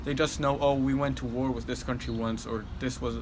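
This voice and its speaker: A man's voice: medium pitch, little pitch movement, a little fast. Teen boy from Egypt, street noise. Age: teens. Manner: thoughtful, with frequent ums and likes. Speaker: high school student interviewee. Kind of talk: casual interview answers.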